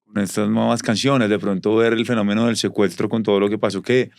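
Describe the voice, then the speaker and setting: Guy hosting an interview, talks fast, casual, long rambly questions, dry sound. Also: on the low side, level tone, gravelly, no echo. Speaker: interview host.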